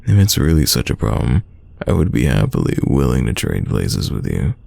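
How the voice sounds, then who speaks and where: A man acting a teasing, stubborn young friend in an intimate roleplay audio drama, with a very deep, gravelly voice and a casual delivery full of drawn-out sighs. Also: big pitch swings, mellow, no room sound, full character voice. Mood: weary banter.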